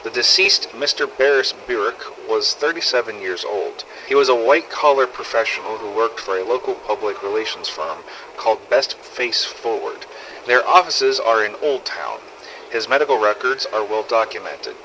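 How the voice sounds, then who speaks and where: Clinical, analytical investigator, bright voice. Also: male voice, fairly low pitch, lively intonation, normal speed, slight background noise, poor audio. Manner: clinical, precise. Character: measured, analytical male investigator of an outbreak. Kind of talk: formal report, game audio log.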